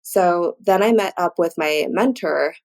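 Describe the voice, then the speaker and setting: A woman with a fairly low voice and plenty of vocal fry speaks as an interview guest. She explains her points conversationally and informally, as a professional would on a podcast. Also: a little slow, darkish tone. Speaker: guest, physician and researcher.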